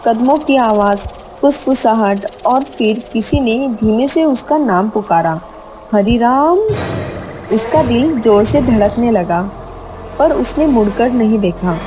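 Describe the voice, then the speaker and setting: A woman's voice: on the high side, animated, slow pace, background noise. A woman narrating a scripted horror story with dramatic storytelling delivery.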